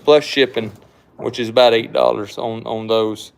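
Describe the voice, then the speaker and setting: A man's voice: mid-range voice, steady tone, moderate pace, creaky. A man from South Mississippi with a Southern accent, hosting a casual livestream, rambling and repeating words as he reads viewer comments. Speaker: livestream host, knife shop owner.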